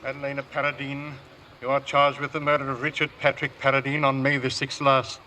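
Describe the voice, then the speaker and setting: An impassive court clerk played by a man in a vintage radio drama. The voice is even and forceful, declaiming formal court language without feeling. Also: medium pitch, a little fast, slight background noise, natural voice, low-quality recording. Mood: stern, official. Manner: declaimed, official, flat.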